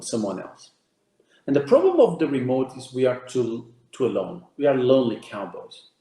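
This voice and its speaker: A man's voice: medium pitch, mellow, reverberant. A man whose first language is Portuguese, giving an emphatic presentation to an audience, counting off key ideas and repeating words as he goes. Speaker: speaker giving a talk.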